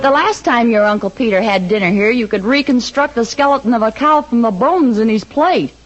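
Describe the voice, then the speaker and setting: High-voiced wry radio housewife, played by an actress. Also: female voice, fairly quick, lightly acted. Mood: wry, teasing. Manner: dry wisecracking. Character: wry, unflappable housewife bantering with her husband. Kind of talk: 1940s radio comedy acting. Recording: dull, noisy vintage radio recording.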